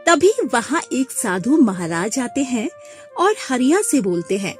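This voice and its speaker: A woman narrating a scripted moral tale as an expressive storyteller. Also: on the high side, measured pace, faint music behind.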